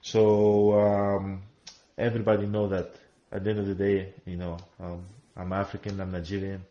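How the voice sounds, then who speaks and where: A man from Greece answering an interview question, halting with restarts and fillers. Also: low-pitched, completely flat delivery. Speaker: athlete. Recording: dull roomy interview clip.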